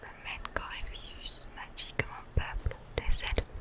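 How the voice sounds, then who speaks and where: A woman speaks entirely in a hushed whisper, taking her time over every phrase. Her delivery is gentle and slow, in the style of an ASMR host guiding listeners through a game. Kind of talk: ASMR whispered game commentary and read-aloud.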